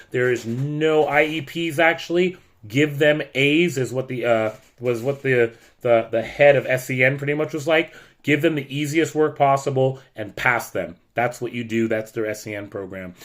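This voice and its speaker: A middle-aged American man, a teacher, talking bluntly and with frustration in a loud voice as he warns people away from a job. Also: medium pitch. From United States. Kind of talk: warning vlog rant.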